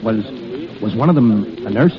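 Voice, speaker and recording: Male actor as American lieutenant, romantic leading man, lively inflection, dull vintage radio recording. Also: fairly low pitch, moderate pace, a crowd in the background, lightly acted. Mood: weary, concerned. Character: easygoing, romantic army lieutenant, loyal friend. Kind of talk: 1930s radio drama acting. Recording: muffled, noisy old radio recording.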